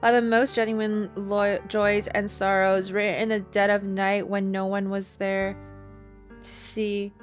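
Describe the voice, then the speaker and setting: Female game streamer, mid-pitched, expressive story reader and casual reactor, faint music underneath. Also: a little slow. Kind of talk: reading game narration aloud with casual reactions.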